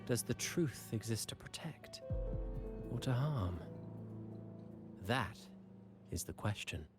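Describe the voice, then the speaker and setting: A man's voice: medium pitch, lively intonation. A man voicing a suave, slyly courteous playwright in a video game, speaking in a refined, theatrical manner over music. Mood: theatrical musing. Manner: theatrical, refined, measured, teasing. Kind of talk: dramatic scripted game dialogue.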